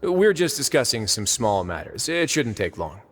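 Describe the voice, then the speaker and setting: An actor voicing a composed, wry duke in a video game, speaking in a dry, polite, unhurried manner. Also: male voice, mid-range voice, big pitch swings, lightly acted. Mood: dryly teasing. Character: composed, wry duke running an underwater prison.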